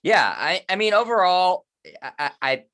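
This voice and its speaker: A man's voice: high-pitched, animated, normal speed, bright, forceful tone. A man in his thirties hosting a sports podcast, opening the show and then trading easy banter with his co-host. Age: 30s. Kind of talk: casual sports podcast talk.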